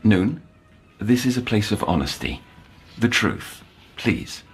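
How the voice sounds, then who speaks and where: Audio drama: male counsellor, polite yet probing, formal, low-pitched, very animated inflection. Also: gravelly, faint music behind, full character voice. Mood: probing, solicitous. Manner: formal, measured, coaxing, precise. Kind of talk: scripted audio drama acting.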